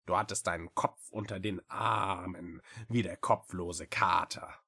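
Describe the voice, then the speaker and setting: A woman's voice: rumbling low, steady tone, measured pace, fairly bright tone, breathy edge, exaggerated voice. A woman voicing a grumpy, weary witch-like creature in an audiobook, sardonic and grudging, with a sighing drawl. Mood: grudging, pondering. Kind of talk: audiobook character voice.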